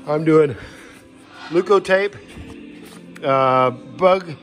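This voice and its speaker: Male hiker, slightly high voice, folksy and matter-of-fact, talking through gear off the cuff at home into a phone. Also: extremely slow, a bit bright, soft background music. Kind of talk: casual unscripted gear walkthrough. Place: indoors in a home living room. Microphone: handheld phone camera, speaker behind it.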